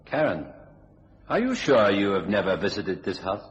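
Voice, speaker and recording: An actor playing an acerbic, world-weary writer and husband in a radio thriller, haughty and articulate. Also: male voice, on the low side, sing-song, normal speed, highs cut off. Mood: intrigued. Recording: noisy old radio drama recording.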